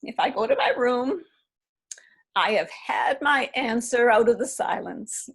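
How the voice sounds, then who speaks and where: A woman reading the part of a frail elderly widow, gentle and shaky, in a readers theatre play. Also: a little high, very animated, a little slow, creaky, breathy edge, full character voice. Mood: moved.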